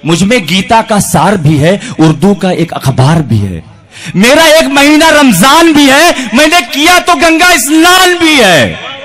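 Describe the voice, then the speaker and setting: A man's voice: very high-pitched, sing-song, a little slow, fairly bright tone. A man reciting poems in rhythmic verse at a poetry evening, speaking into a stage microphone. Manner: rhythmic declamation with refrains. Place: large outdoor stage with a crowd.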